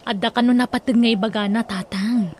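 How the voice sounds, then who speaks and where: An actress playing an earnest, respectful young daughter in an Ilocano radio drama, emotive, with an Ilocano accent. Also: female voice, a little high, fairly even intonation, fairly quick, a little mellow, a little hiss or hum, lightly acted. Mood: earnest, concerned.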